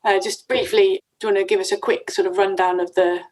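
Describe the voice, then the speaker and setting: A woman's voice: mid-range voice, flat delivery, fast, darkish tone. A woman interviewing guests in a casual, conversational way, with informal and somewhat hesitant phrasing. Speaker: podcast host, interviewer.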